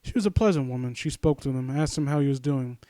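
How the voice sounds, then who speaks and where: A man's voice: mid-range voice, fast, dark tone, no echo, clean studio sound. A man giving casual, blunt commentary as he reads and critiques a story, often stammering and rephrasing, with some swearing. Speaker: host of a story critique series. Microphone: new handheld microphone, uneven distance, voice drifts soft. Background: music in the background.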